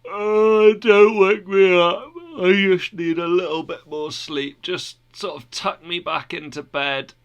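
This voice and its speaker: A man voicing Sloth, a lazy yawning male figure who whines to be left asleep, with a higher, louder, drawn-out voice. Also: fairly even intonation, smooth, dull audio. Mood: whiny drowsy. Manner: yawning, whiny, drawn-out pleading.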